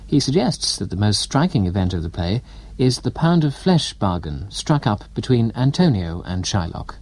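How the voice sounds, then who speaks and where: A man with a British accent reading scripted radio continuity announcements in a formal, measured way. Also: fairly low pitch, a little mellow, slightly rough, no room sound. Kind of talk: scripted announcements and weather forecast.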